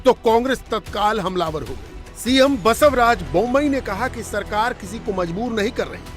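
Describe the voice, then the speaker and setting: A man's voice: high-pitched, animated, moderate pace, soft background music. A man reading a scripted television news report as its narrator.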